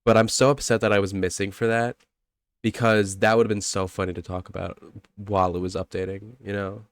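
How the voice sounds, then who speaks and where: Young man, stuffy congested nose, low voice, dry close sound, rambling casual podcast chat. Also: little pitch movement, a little fast, clear, resonant tone, no echo. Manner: rambling, self-correcting, laughs often. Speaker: podcast co-host.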